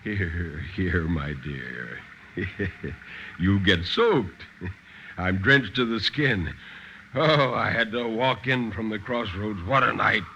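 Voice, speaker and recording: A man's voice: on the low side, takes it slowly, pushed and bright, slight background noise, exaggerated voice. A man voicing a tired, cheerfully dismissive husband with a soothing, patronizing manner, in 1950s radio style. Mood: weary, hearty. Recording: dull, lo-fi 1950s radio recording.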